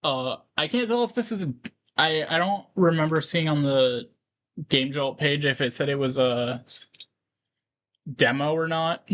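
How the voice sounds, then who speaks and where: A man doing casual livestream commentary on a game, reading on-screen text aloud and halting to break into asides. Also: fairly high pitch, fairly bright tone.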